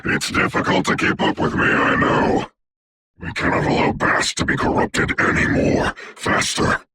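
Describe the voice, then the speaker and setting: An actor voicing a commanding, haughty dark sorcerer for a video game, speaking in a formal, grandiose, deliberate way with a gravelly, breathy voice. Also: male voice, slight background noise, close to an everyday voice. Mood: grave, urgent. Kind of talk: theatrical hero game voice lines.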